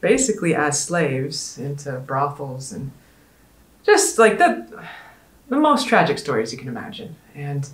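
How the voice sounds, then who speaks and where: A woman hosting a conference panel in a casual, earnest, conversational way, pausing often with ums. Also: low voice, sing-song, reverberant. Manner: earnest, conversational, with frequent ums and trailing pauses. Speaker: panel host.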